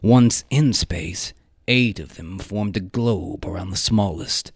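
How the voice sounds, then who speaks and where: A man narrating a live radio drama in a theatrical manner, with measured pauses and a musical score behind him. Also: low-pitched, husky, dry recording, clean studio sound.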